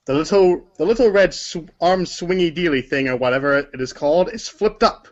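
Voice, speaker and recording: A man's voice: fairly high pitch, brisk, highs cut off, slight background noise. A man narrating a story aloud as if from a storybook, sometimes stumbling over words and correcting himself. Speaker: narrator of a group readers' theater.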